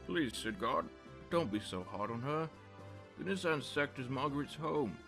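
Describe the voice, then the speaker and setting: Man playing a gravelly, courteous retainer. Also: medium pitch, expressive, normal speed, over music. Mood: gentle, soothing. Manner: formal, polite. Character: courteous, kindly male retainer, gentle and deferential. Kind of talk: fan radio drama acting.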